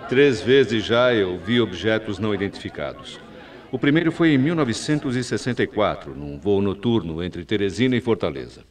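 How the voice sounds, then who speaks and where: Male actor as veteran airline captain, middle-aged, Brazilian accent, low pitch, composed, authoritative, formal radio drama delivery. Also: animated, normal speed, a faint crowd, natural voice. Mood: earnest recounting. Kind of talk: 1990s radio drama acting.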